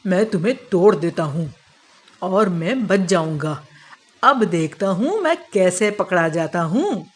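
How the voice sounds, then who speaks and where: A man's voice: sits high, lively intonation, measured pace, a bit bright. A man voicing a sly, fearful servant thief in a children's moral cartoon, scheming and falsely deferential.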